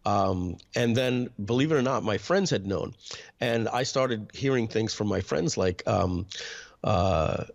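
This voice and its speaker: A man, telling a personal story as an interview guest, hesitant, with frequent throat clearing and filler words. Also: on the low side, animated, unhurried. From New York. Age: 50s.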